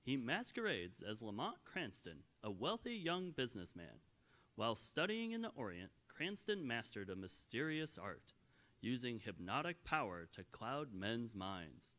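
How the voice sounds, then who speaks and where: A man plays a vintage radio announcer introducing a crime serial. He is portentous and theatrical, with a sharp, forceful tone and animated pitch. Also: heavily acted. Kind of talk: scripted 1940s radio narration.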